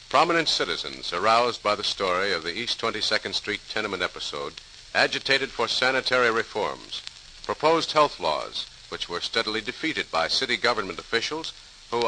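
Male 1940s radio narrator: slightly low, lively intonation, formal and dramatic, faintly noisy old recording.

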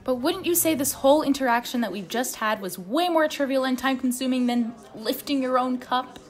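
A woman's voice: high-pitched, a little fast, natural voice. A woman playing a weary, sarcastic barista in an amateur radio play. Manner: deadpan, exasperated. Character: weary, sarcastic female coffee shop barista.

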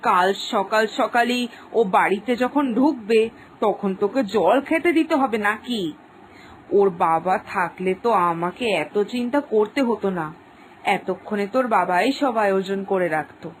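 A woman's voice: medium pitch, expressive, moderate pace, a little hiss or hum, natural voice, poor audio. A woman voicing a doting, anxious but stubborn village mother in a cartoon, with a homely, chatty, plaintive way of talking. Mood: defensive, wistful. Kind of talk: dramatised cartoon dialogue.

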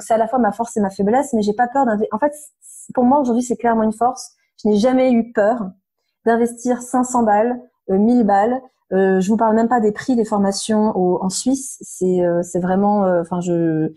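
A woman in her thirties, slightly hoarse, talking frankly and animatedly as an interview guest, with many fillers. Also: medium pitch, fairly even intonation, a little fast, mellow. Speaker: interview guest, nutrition therapist. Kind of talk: casual conversational interview.

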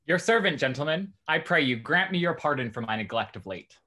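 A genial young gentleman from a Restoration comedy, played by a man. His voice is fairly high and swings freely in pitch. He is courteous and gossipy in formal period lines. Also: animated, fairly quick. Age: young adult. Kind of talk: stage acting in a Restoration comedy over video call. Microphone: video call.

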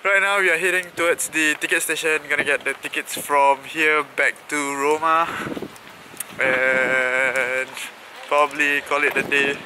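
A man's voice: on the high side, fairly even intonation, unhurried. A man in his twenties with a Singaporean or Malaysian accent, vlogging casually to a camera at arm's length outdoors, with colloquial slang. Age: 20s. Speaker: travel vlogger. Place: outdoors at train stations and streets. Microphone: camera held at arm's length. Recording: noisy outdoor street and station sound.

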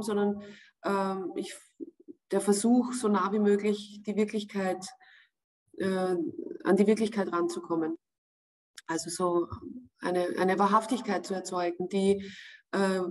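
A woman in her forties with an Austrian accent, an actress giving a video-call interview, pausing often to find her words. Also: medium pitch, a little mellow. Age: 40s. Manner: thoughtful, searching for words with many pauses. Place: home room indoors.

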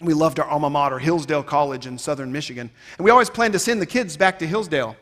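Man, 30s, keynote speaker; higher voice, emphatic rhetorical delivery, long hall reverb. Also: fast. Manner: emphatic, rhetorical, swinging between loud and quiet. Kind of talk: conference keynote speech. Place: stage of a large conference hall. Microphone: headset mic.

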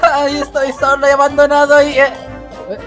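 High-pitched goofy gamer. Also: male voice, monotone, moderate pace. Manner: goofy, mock-whiny, jokey. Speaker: gaming roleplay creator. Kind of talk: comedic Minecraft roleplay. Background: background music.

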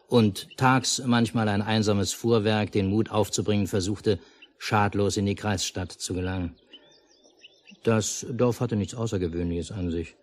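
An actor playing a calm storyteller in a 1950s radio play, narrating a lakeside village tale in measured, literary phrases. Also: male voice, fairly low pitch, lightly acted. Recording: noisy 1950s mono radio recording.

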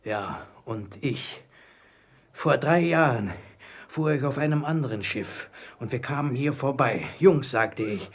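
An actor playing a marooned, repentant old pirate in a German radio play, wary and eager, with a husky, creaky voice and a theatrical, confiding manner. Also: male voice, medium pitch, animated, unhurried. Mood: brooding, intense.

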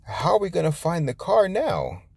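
A man voicing a gentle, careful mother pig in a children's storybook. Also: on the high side, sing-song, normal speed, vocal fry, breathy edge, dry recording, voice pushed up, heavily acted. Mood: worried.

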